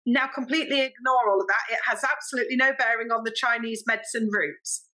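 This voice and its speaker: A woman with an English accent, a podcast guest telling stories in a chatty, expressive way and acting out quoted dialogue. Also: fairly high pitch, fast, rough recording. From Britain. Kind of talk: animated storytelling in casual interview.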